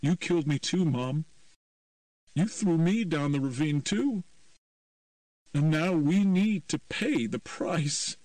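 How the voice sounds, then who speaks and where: Man voicing a tormented teen boy, anguished, raw, slow, dry distant mic. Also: on the high side, animated, a little mellow, no room sound, voice pushed up. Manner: raw, anguished, turning icy cold.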